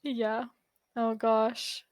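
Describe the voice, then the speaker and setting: A woman's voice: on the high side, little pitch movement, extremely slow, darkish tone, no echo. A woman from Scandinavia chatting on a live role-play stream, enthusiastic and gushing, laughing often. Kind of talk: casual chat on a live stream.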